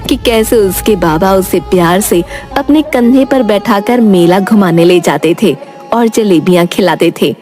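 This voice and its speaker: A woman's voice: mid-range voice, a little slow, a little mellow, soft background music. A woman narrator reading a scripted children's moral story.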